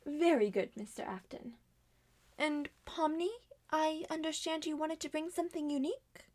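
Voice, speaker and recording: A woman's voice: high voice, moderate pace, a little mellow. A woman performing a scripted comic roleplay in theatrical, changing character voices. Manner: theatrical, switches between many character voices.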